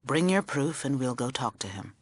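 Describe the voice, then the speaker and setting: A woman's voice: very deep voice, animated, normal speed, husky, dry recording, full character voice. A middle-aged woman playing a stern, learned scribe in a video game, with a formal, aloof and curt manner. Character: stern, learned female scribe of a post-war order, aloof. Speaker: game character. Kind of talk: video game character dialogue.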